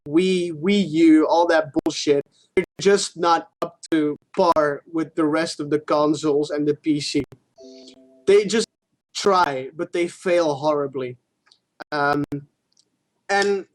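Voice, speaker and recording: A man co-hosting a gaming talk show, explaining news in a casual, informal way. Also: on the high side.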